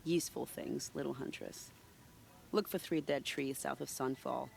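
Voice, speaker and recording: A woman playing a sly, secretive, charming spy in a video game, teasing in a silky, unhurried way. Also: a bass voice, steady tone, vocal fry, a little hiss or hum, heavily acted. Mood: sly, confiding. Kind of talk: video game cutscene acting.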